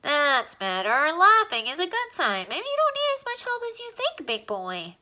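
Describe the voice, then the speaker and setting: A woman voicing a tiny, chatty male alien with a high, squeaky voice and a wisecracking manner. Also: huge pitch swings, bright, forceful tone, exaggerated voice. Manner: fast-talking, wisecracking.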